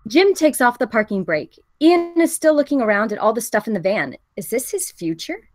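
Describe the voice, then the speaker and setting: A woman's voice: fairly high pitch, sing-song, moderate pace. A woman reading the stage directions of a film script as scripted narration at a table read.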